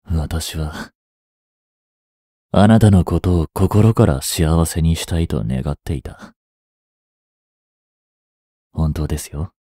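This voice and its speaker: A young man in his twenties playing a treacherous, smooth-tongued captive prince and spy in a Japanese audio drama, with courteous, theatrical speech that can turn mocking. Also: low voice, warm tone, dry recording, studio quality. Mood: earnest pleading. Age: 20s. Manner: slow, theatrical, courteous speech slipping into taunts. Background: background music.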